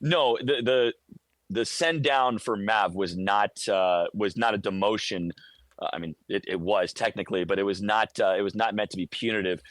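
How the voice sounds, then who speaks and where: A man with an American accent and a fairly low voice, recorded close and dry. He gives live sports radio analysis in a conversational, detailed way, often tripping on the first word of a phrase before carrying on. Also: normal speed, a bit bright, no room sound. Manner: conversational, detailed, stammers over word starts. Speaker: radio play-by-play broadcaster, guest analyst.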